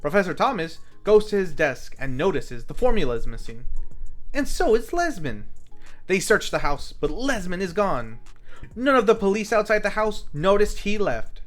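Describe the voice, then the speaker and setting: A man's voice: high voice, big pitch swings, normal speed, faint music behind. A man hosting a film review, reading a scripted plot recap and giving the dialogue dramatic emphasis.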